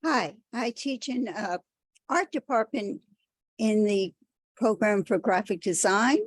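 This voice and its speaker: A woman's voice: medium pitch, slow, no room sound. A woman from Connecticut, an art teacher, introducing herself in a plain, thoughtful, slightly meandering way. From Connecticut, United States. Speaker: art teacher, seminar participant.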